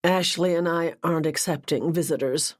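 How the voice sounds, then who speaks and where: A guarded, possessive woman, read by a woman narrator in a low, even voice. She keeps her lines cool and clipped, giving nothing away in a storybook reading. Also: normal speed, no room sound, studio quality.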